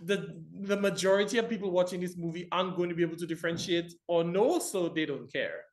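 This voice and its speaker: Male Caribbean film academic, podcast guest, easygoing expansive talker. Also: high-pitched. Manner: expansive, reflective, quick laughs. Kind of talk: casual chat.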